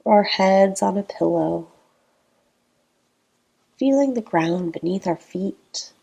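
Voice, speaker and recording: A woman leading a guided meditation in a calm, gentle, unhurried manner with long pauses between phrases. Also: medium pitch, vocal fry, slightly rough, highs cut off.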